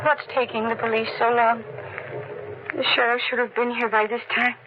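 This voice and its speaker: A woman's voice: fairly high pitch, little pitch movement, normal speed, lightly acted. An actress playing a frightened, suspicious young woman in a 1940s radio mystery, agitated and pleading. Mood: tense, suspicious. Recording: noisy, muffled 1940s radio recording.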